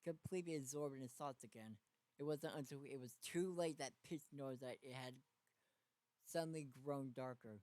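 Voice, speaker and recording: A man with a medium-pitched voice narrates a story in a dramatic style. His delivery is halting, with small stumbles over the text. The sound is dry, with very little room in it. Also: darkish tone, no echo. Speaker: fan fiction reader.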